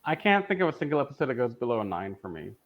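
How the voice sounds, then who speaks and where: A man's voice: mid-range voice, very animated, rapid-fire. A man co-hosting a podcast, chatting casually as he reviews a show as a fan. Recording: slightly dull, lo-fi audio.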